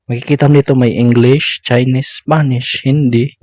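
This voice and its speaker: A man's voice: medium pitch, level tone, normal speed, darkish tone, no echo. Male Filipino tutorial narrator, step-by-step delivery, dry and slightly dull recording. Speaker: content creator giving a tutorial. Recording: dull lo-fi audio.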